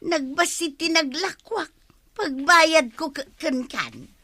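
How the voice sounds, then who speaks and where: Bright-voiced, complaining Ilocano villager. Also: female voice, high voice, slow pace, no room sound, heavily acted. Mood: whining, haggling. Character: complaining, stubborn village woman who wants credit. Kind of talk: Ilocano radio comedy drama acting.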